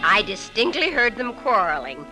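An actress playing an earnest household maid giving emphatic testimony in an old radio drama. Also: female voice, mid-range voice, big pitch swings, normal speed, sharp tone. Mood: indignant. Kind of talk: old-time radio acting. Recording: old radio recording with music behind.

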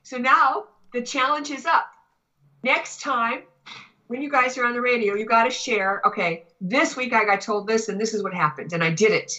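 A woman chatting casually as a talk show guest and teacher, telling anecdotes in an animated way. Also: a little high, moderate pace, lo-fi sound.

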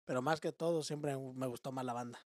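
A man's voice: medium pitch, steady tone, fairly quick, a little mellow, no echo. Easygoing mexican guy chatting, kinda hesitant, dry mic. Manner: laid-back, laughs easily, hesitant restarts. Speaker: guest. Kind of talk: casual chat.

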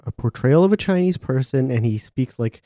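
Skeptical man, warm dry voice. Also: mid-range voice, expressive, brisk, dry recording. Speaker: podcast co-host. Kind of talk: casual chat.

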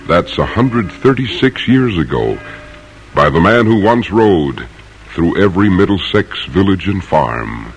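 Solemn radio narrator, lowish voice, theatrical, deliberate, animated intonation, 1950s history drama. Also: male voice, slightly rough, soft background music, heavily acted, poor audio. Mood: dramatic, solemn. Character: solemn, poetic male narrator of a history play.

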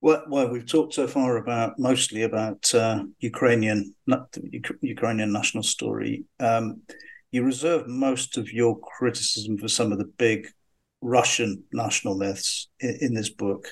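A man hosting an interview, posing conversational questions about a book with a few halting restarts. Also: mid-range voice, steady tone, poor audio. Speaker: podcast interviewer.

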